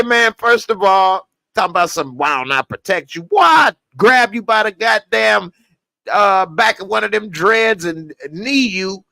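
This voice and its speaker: A man's voice: high voice, gravelly, fairly bright tone, no room sound. A man giving a casual spoken review of a TV episode, blunt and slangy, sometimes breaking into heated rants. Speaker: TV series reviewer.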